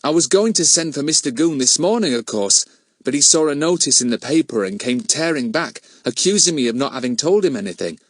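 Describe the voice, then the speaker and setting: A man's voice: fairly high pitch, expressive, fairly quick, no room sound, voice pushed up. A man voicing a decent, downtrodden village police constable in a children's audiobook, plain-spoken and modest in his phrasing. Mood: aggrieved.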